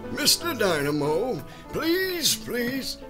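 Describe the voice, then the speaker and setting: A man playing a frail, genteel old patriarch in a comedic horror audio drama, speaking haltingly. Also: very high voice, wildly swooping pitch, over music, full character voice. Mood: welcoming, halting. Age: older. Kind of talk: comedic horror radio-play acting.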